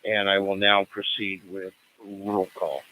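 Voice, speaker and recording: Bright-voiced American man, flat radio roll call. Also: sits low, takes it slowly, slight background noise, rough recording. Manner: formal, procedural. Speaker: net control operator running roll call.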